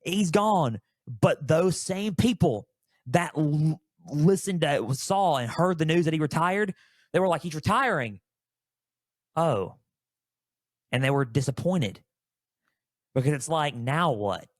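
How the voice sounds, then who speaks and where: A man's voice: on the high side, animated, slow, pauses often, no echo, clean studio sound. A man in his early twenties talking solo as a sports podcast host, conversational and storytelling, repeating phrases for emphasis.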